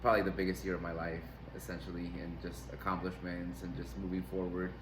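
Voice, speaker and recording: A man's voice: low voice, completely flat delivery, quick pace. A young man, a wrestling coach, answering interview questions casually, rambling with fillers and restarts. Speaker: grappling athlete and wrestling coach. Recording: echoey room with background noise.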